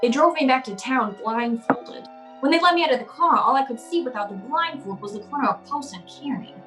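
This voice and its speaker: Lady doing cynical noir private eye, kinda high voice, wry, old radio show with music. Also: sharp tone, rough recording. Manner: wry, curt, hardboiled. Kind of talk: old-time radio noir drama, dialogue and narration.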